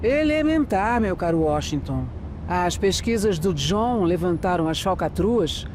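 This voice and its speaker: A sharp, wary female detective in a radio play. She explains her deductions with measured confidence, over clearly noisy ambience with crickets. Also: on the low side, lively intonation. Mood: wary, assured. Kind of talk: audio drama dialogue.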